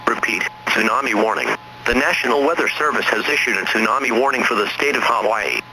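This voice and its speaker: A man's voice: mid-range voice, a little fast, sharp tone. A man reading a formal emergency alert as a broadcast announcer. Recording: noisy radio-style alert broadcast.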